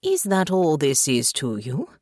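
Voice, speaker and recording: A woman voicing a noble countess who speaks calmly and quietly but never yields. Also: low-pitched, no room sound, clean studio sound, full character voice. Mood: cool, probing. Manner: calm, quiet, measured, probing. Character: cool, unyielding noble countess.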